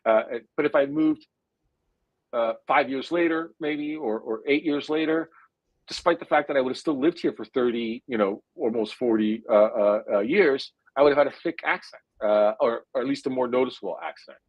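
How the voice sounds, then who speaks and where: A man's voice: medium pitch, no room sound, muffled recording. Interview guest, man in his 40s, New Jersey accent, hesitant and reflective delivery, mid-range voice. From India. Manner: thoughtful, hesitant, full of drawn-out "uh" fillers. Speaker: guest neuroscientist. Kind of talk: conversational interview answer.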